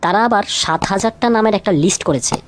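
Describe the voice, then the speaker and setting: A woman's voice: mid-range voice, a little fast, slight background noise. A woman presenting a scripted, light trivia feature, speaking directly to the listener with rhetorical questions. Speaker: lead narrator of a feature video.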